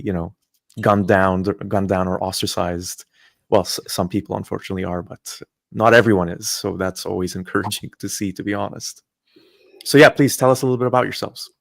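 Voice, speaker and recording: A man hosts a live online talk and introduces his guests in a relaxed, friendly way. His voice sits a little low with a gravelly edge. The sound is dry and close, with no room around it. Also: no room sound. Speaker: host. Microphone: video call.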